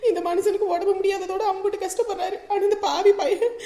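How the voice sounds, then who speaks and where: A woman's voice: very high-pitched, flat delivery, fast, dark tone. A woman playing an aggrieved, bitter village mother in a family drama, with a plaintive, scolding manner. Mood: wounded lamenting. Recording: roomy, echoing voice recording.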